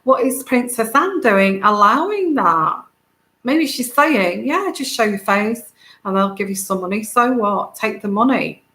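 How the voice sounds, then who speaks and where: A woman with a soft, soothing voice, hosting a casual tarot livestream, rambling with many fillers and greeting viewers by name. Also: a little high, expressive, a little slow, roomy sound. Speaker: tarot reader and channel host.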